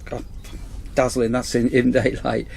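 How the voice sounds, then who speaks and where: A man's voice: medium pitch, completely flat delivery, a little slow, gravelly, a little mellow, a little hiss or hum. A man in a campsite warden role, chatting casually outdoors as he reviews a torch on an action camera. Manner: chatty, rambling, repeats "as I say". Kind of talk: casual product review vlog.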